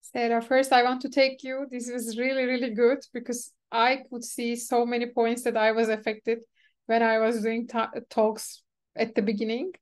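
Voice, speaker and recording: A woman whose first language is Turkish, hosting a webinar and chatting quickly with many fillers over a video call. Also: fairly high pitch, level tone. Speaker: webinar organizer. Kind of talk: casual webinar chat.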